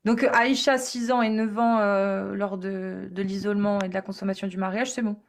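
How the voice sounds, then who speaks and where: A woman's voice: medium pitch, flat delivery, moderate pace, slight background noise. A woman hosting a live online debate, insistent and repetitive, with many fillers.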